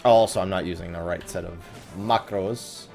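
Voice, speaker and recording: A man's voice: deep voice, steady tone, moderate pace, vocal fry, darkish tone, faint music behind. A man giving casual let's play commentary on a video game, swearing and griping with sarcasm. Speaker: host of a gaming let's play series.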